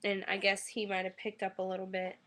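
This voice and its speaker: A young woman recovering from an illness, her voice a little raspy, rambling casually about her newborn son with lots of ums. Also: mid-range voice, monotone, fairly quick, bright, forceful tone. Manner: rambling, many ums, coos baby talk to her infant. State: getting over an illness. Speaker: new mother vlogger. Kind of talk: casual webcam update. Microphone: webcam mic.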